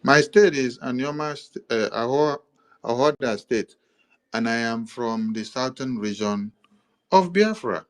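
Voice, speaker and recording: A man with a Nigerian accent giving an explanatory monologue, listing items in a steady, repetitive rhythm with occasional hesitations. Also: medium pitch, unhurried. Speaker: commentator presenting a map.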